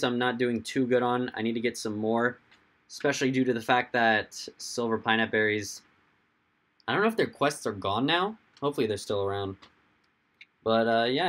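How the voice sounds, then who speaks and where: A young man with a hoarse, fading voice, hosting a casual livestream, rambling and answering viewers' chat comments as they come in. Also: mid-range voice, fairly bright tone. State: hoarse, losing his voice. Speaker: livestream host.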